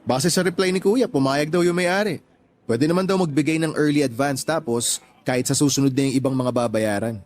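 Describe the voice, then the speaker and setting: A man playing a calm, supportive young boyfriend in a Tagalog radio drama, speaking in a reassuring, conversational way. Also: on the high side, a little fast, close to an everyday voice. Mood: helpful.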